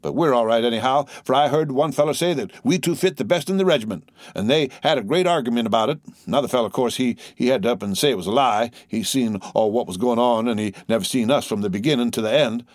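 Man acting as young soldier, higher pitch, indignant, complaining, rustic dialect, storybook character. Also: quick pace, hardly any pauses, no echo, clean studio sound, lightly acted. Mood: indignant, stout.

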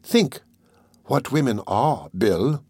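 A man voicing a crafty old criminal in an audiobook, with an oily, coaxing manner and drawn-out phrases. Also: mid-range voice, sing-song, no echo, studio quality, full character voice. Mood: incredulous, coaxing. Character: wheedling, crafty old male criminal schemer. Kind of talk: audiobook character voice.

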